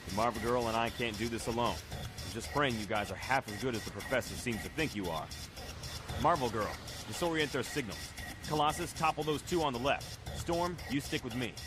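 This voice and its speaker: A man plays an earnest young team leader under pressure. He issues commands in an urgent, clipped way with an ordinary mid-range voice. A clear music score sits beneath him. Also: medium pitch, a little fast, natural voice. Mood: commanding.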